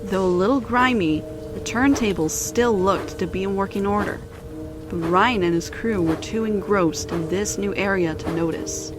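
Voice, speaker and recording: A woman's voice: medium pitch, moderate pace, over music. A woman narrator reading a scripted children's story in storybook style.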